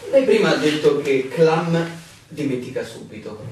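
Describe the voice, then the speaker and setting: A man's voice: on the high side, lively intonation, moderate pace, a little mellow, lightly acted. A man acting a stubborn, intense outsider in a radio play. He presses his case with insistence and a streak of irony. The voice is distant in a very echoey room, with faint noise. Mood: insistent. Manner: insistent, ironic, questioning. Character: stubborn, intense male outsider determined to reach the authorities. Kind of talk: Italian radio drama acting.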